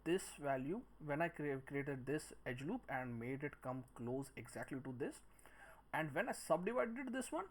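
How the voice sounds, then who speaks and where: A man giving a step-by-step software tutorial, thinking aloud in short phrases as he works. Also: on the high side, lively intonation, normal speed, poor audio. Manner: speaks in short phrases while working. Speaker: 3D modelling tutor.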